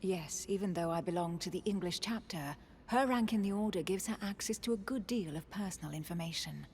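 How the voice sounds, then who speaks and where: A woman's voice: lowish voice, fairly quick, darkish tone, big-room sound, a little hiss or hum, close to an everyday voice. An actress playing a composed, guarded woman of a secret order in a video game, speaking formally and with measure.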